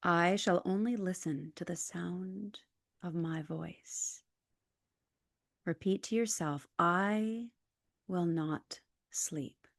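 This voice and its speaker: A woman leading a guided relaxation as a yoga nidra instructor, calm and measured, with soft, breathy phrase endings. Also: fairly low pitch, dry recording, clean studio sound. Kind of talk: guided meditation, scripted instructions.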